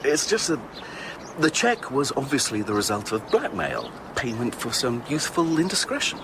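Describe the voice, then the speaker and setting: An actor playing an earnest, indignant, uneasy Victorian gentleman with an English accent in a radio drama, emphatic and sometimes hesitant. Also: medium pitch, wildly swooping pitch, moderate pace, noisy background. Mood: confiding.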